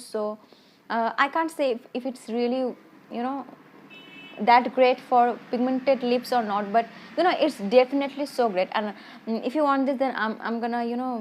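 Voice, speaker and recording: Beauty vlogger, woman, slightly high voice, chatty and rambling, a bit shy. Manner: rambling, full of "I mean" and "like" restarts; self-conscious, a little shy. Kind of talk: casual product review.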